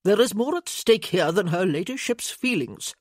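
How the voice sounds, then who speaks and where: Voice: mid-range voice, animated, normal speed, no room sound, studio quality, natural voice. A storybook narrator voicing a puffy, over-eager little lord who prattles on insistently.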